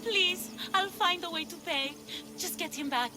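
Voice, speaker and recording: A woman's voice: extremely high pitch, unhurried, over music. A female actor playing an anxious but determined fisherman's wife in a video game cutscene, earnest and imploring. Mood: pleading, hopeful.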